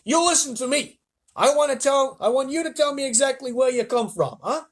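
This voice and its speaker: A man's voice: very high voice, animated, fairly quick, voice pushed up. A man voicing a blustering male police chief who bellows commands. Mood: bellowing.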